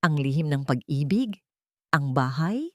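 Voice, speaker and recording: A woman plays a frail old grandmother in an audio storybook. She speaks gently and with feeling, in a soft, trembling voice that lifts above the narrator's pitch, recorded close and dry. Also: very animated, a little slow, no echo. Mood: wondering, reminiscing. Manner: gentle, emotional. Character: frail, tender old grandmother, grateful and wondering.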